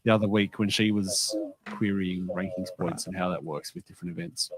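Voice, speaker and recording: Man, podcast co-host, animated voice, hesitant casual chat. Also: low-pitched, a little fast, gravelly, husky.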